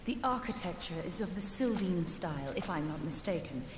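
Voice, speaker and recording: A woman playing a composed, resolute, learned sorceress in a fantasy game, with formal, measured, archaic delivery and dry wit. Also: fairly low pitch, expressive, background noise, lightly acted. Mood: calm resolve. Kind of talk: scripted fantasy game cutscene acting. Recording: echoey hall reverb with music behind.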